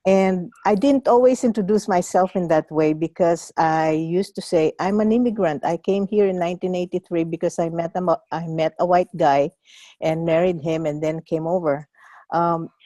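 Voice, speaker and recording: Older filipina woman, kapampangan native, unhurried storytelling, soft dark tone, dry sound. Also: lowish voice, no echo. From Philippines. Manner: reflective, measured storytelling. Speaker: workshop facilitator. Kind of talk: online workshop self-introduction. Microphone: video call.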